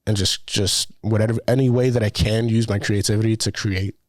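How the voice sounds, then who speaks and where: A man's voice: lowish voice, steady tone, fairly quick, no echo. A young man from the Dominican Republic, a student filmmaker, talking casually and rambling with many fillers; his first language is Spanish. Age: young adult. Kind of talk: casual conversation.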